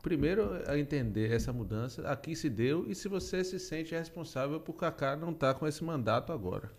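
A man's voice: on the high side, lively intonation, fairly quick. A man hosting a studio podcast interview, asking long, probing questions. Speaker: podcast host.

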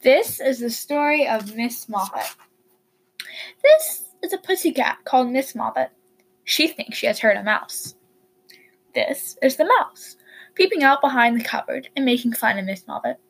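A woman's voice: on the high side, slightly rough, poor audio. A woman reading a children's story aloud as a narrator. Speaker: story reader.

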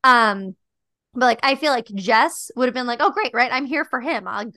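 A young adult woman co-hosting a podcast, chatting in a thoughtful, reflective way with frequent fillers, with a voice she calls high-pitched. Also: moderate pace, bright, forceful tone, no echo, clean studio sound. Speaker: podcast co-host. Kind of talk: casual chat about a dating show.

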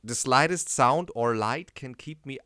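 A young man co-hosting a casual podcast chat in German, halting and restarting often and laughing easily. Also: medium pitch, pushed and bright, no echo, clean studio sound. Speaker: podcast co-host.

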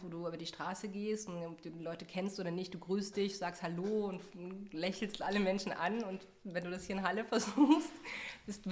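Woman from southwest Germany, slightly low voice, informal interview guest, easily amused. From Saarland, southwest Germany. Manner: informal, quick to laugh. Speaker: interview guest, bookshop owner. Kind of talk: casual conversational interview.

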